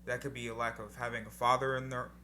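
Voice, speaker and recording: A man's voice: mid-range voice, level tone, fast talker, bright, forceful tone, slight background noise. A young man co-hosting a casual podcast, talking in a laid-back, reflective way with some casual swearing. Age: young adult. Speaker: podcast co-host. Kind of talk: casual chat.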